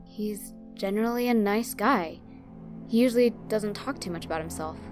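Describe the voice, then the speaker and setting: A woman's voice: mid-range voice, normal speed, over music, natural voice. A woman playing an earnest, loyal police officer in an audio crime drama. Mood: guarded, earnest.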